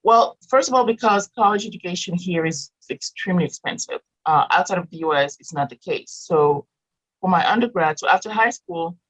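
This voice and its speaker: A woman's voice: fairly low pitch, fairly quick, fairly bright tone, poor audio. A woman in her thirties, raised in Morocco with French as her first language, chatting as a guest, laughing often and restarting sentences. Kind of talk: casual interview chat.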